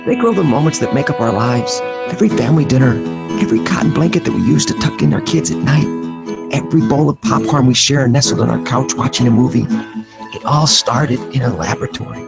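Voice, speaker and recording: A man reading a parody commercial in a smooth ad-narrator delivery, over guitar music. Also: medium pitch, expressive, fast talker. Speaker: mock ad voice-over. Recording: loud guitar music behind.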